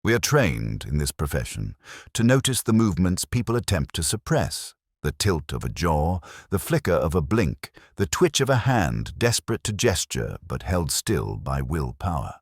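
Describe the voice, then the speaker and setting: Male actor as melancholic detective narrator for audio drama: very deep register, close dry sound, measured and introspective. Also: sing-song, dry recording, exaggerated voice. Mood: brooding, reflective. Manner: measured, literary, brooding delivery. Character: melancholic, introspective male police inspector recounting a case.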